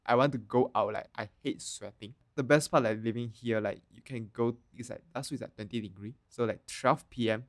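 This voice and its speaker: A man's voice: medium pitch, fairly quick, hardly any pauses, dry recording, studio quality. A man from Malaysia with a Malaysian accent, chatting casually as a podcast guest in short, plain-spoken phrases. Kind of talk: casual chat.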